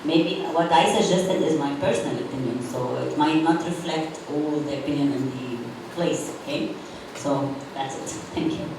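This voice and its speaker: A woman from Syria gives an earnest comment in at a university symposium. She sits far from the mic, so her low voice carries through a very echoey, noisy hall. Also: moderate pace. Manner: earnest, non-native phrasing. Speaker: audience member. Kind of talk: panel discussion comment. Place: university auditorium.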